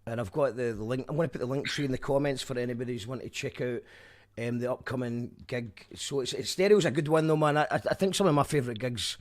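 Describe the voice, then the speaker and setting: A Scottish man hosting a casual radio chat, chatty and friendly. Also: medium pitch. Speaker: radio show host.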